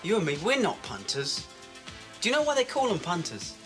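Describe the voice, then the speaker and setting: A breezy male radio DJ, with quick teasing patter and a lively, bouncing voice. He sits a little high for a man. Music plays behind him. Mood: warning. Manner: chatty, teasing on-air patter. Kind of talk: 1980 TV drama acting.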